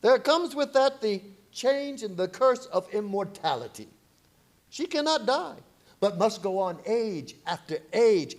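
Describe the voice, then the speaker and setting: An older professor and vampire hunter played by a man speaks in a radio horror drama. His voice is pitched high and moves dramatically up and down. He is grave and commanding, with solemn, slightly stilted phrasing. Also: exaggerated voice. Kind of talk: 1930s-style radio horror drama acting.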